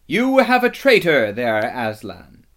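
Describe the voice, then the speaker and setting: A man voicing a savage, imperious witch queen in a storybook, shrill, forceful and declamatory. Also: huge pitch swings, slow pace, full character voice. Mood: accusing, scornful. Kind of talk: dramatic storybook reading.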